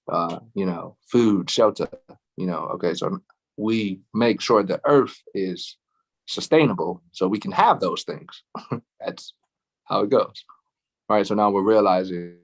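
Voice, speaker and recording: A man co-hosting a casual astrology chat, laid-back, with frequent laughs and lots of fillers. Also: fairly low pitch. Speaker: astrology show co-host.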